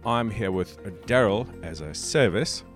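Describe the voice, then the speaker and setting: Low-voiced South African podcast host. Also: male voice, lively intonation, faint music behind. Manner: explains at length with pauses and fillers. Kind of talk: casual tech podcast chat.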